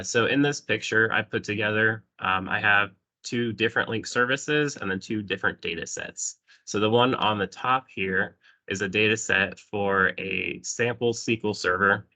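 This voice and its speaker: A man with a cold giving a remote technical presentation over a call, sounding congested, often clearing his throat and saying um. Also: on the low side, steady tone, moderate pace, bright, forceful tone. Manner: frequent throat clearing and ums. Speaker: presenter at a user group.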